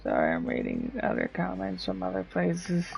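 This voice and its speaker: A woman's voice: lowish voice, huge pitch swings, normal speed, creaky, a little mellow, soft background music. A woman live streamer chatting casually with viewers over a game.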